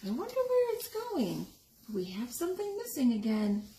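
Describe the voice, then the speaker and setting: A woman reading a picture book aloud to young children, expressively, pausing to explain the pictures and ask questions. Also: sits high, wildly swooping pitch, mellow. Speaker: story time reader. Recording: echoey room with background noise.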